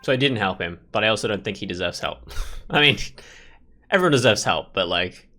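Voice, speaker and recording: A man's voice: fairly low pitch, expressive, brisk, bright, forceful tone, soft background music. A man hosting a let's play, thinking aloud in informal, casual commentary on the game.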